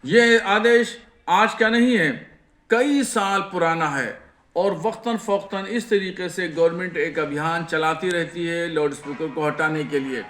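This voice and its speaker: A man, a cleric, making a measured, deliberate statement to reporters. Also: fairly high pitch, a bit bright, echoey room, soft background music.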